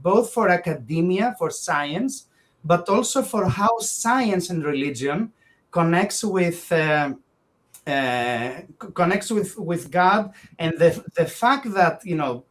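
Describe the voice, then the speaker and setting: A man from Greece with a Greek accent, speaking as an interview guest in long, earnest, winding sentences that often restart. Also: on the high side. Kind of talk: conversational interview.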